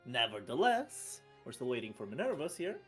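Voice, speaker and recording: Excitable male fighting game commentator. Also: mid-range voice, normal speed, slightly rough, faint music behind. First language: not French. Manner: excitable, with sudden loud outbursts at big plays. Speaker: esports commentator.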